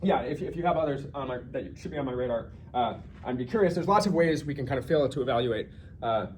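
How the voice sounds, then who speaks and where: A man's voice: mid-range voice, expressive, fast, a little mellow, slight background noise. A man giving an informal research talk, thinking aloud conversationally with self-corrections and asides.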